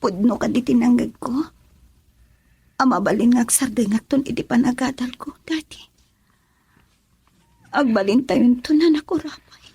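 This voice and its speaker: An actress playing a dutiful, gentle young university student daughter in a radio drama, with a Filipino accent. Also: female voice, fairly high pitch, moderate pace, creaky, long pauses, slightly rough, dry recording, exaggerated voice. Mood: worried, gentle. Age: young adult.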